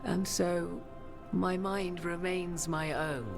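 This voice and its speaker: A woman playing a solemn human sorceress in a video game, speaking in measured, archaic, formal phrases. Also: on the low side, fairly even intonation, vocal fry. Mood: solemn, reflective. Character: solemn human sorceress bearing an ancient goddess's memories. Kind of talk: dramatic video game dialogue. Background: prominent music.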